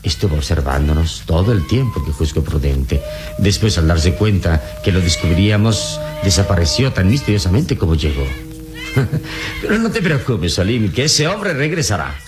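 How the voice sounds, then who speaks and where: An actor playing a calm, wise and fearless adventurer hero in a radio serial, speaking in a measured, reassuring, paternal way. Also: male voice, low voice. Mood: assured. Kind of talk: Latin American radio serial acting. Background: dramatic background music.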